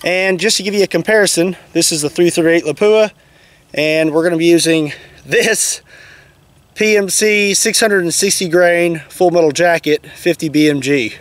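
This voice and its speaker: A man's voice: a little high, normal speed, no room sound. A young man with a Kentucky accent, talking casually and plainly as a host while outdoors in an open field. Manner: plainspoken, easygoing, thinks aloud. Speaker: shooting channel host. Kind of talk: casual outdoor demo narration. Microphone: camera mic, sometimes at a distance.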